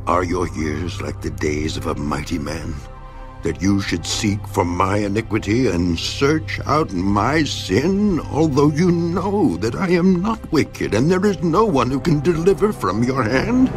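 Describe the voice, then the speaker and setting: Job, anguished and gravelly. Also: male voice, full character voice. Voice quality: deep gravelly voice. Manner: anguished, swinging from hushed to crying out. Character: suffering righteous man pleading with God. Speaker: voice actor. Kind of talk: dramatized scripture reading. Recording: with music underneath.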